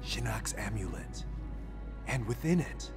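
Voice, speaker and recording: Grim, low warrior in echoing hall. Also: male voice, sing-song. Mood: grave. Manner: grave, terse, measured. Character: grim, serious male warrior swordsman. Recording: echoing hall with music underneath.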